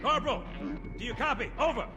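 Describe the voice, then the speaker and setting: A man playing a gruff, bossy Marine sergeant in a video game, barking impatient orders. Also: extremely high pitch, fast talker. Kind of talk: scripted video game cutscene acting. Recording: loud music and battle effects behind.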